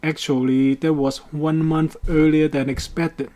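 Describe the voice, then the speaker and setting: A man narrating a scripted how-to about a DIY project in a measured, careful way. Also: on the high side, flat delivery, dark tone. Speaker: DIY boat project presenter.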